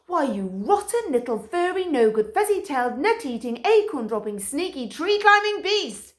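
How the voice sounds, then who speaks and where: A woman voicing an excitable, hot-tempered male dog who rants in outrage, in a roomy echo. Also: on the high side, measured pace, voice pushed up. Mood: furious. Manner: ranting, outraged, dramatic.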